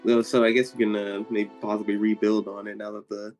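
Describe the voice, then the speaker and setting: A man playing a wary, mild-mannered adventurer in casual tabletop roleplay, talking in a hesitant, halting way. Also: lowish voice, little pitch movement, darkish tone, faint music behind, close to an everyday voice.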